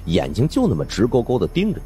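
A man's voice: mid-range voice, wildly swooping pitch, fast, dark tone, slight background noise. A man giving dramatic scripted narration of supernatural suspense fiction as an audiobook narrator.

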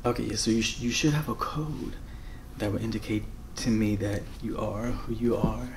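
An American man in his thirties doing a casual ASMR roleplay as a friendly, flustered rental host, soft-spoken, halting and apologetic. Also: fairly low pitch, fairly even intonation, noisy background. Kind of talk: ASMR roleplay, casual one-sided conversation. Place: bedroom, indoors.

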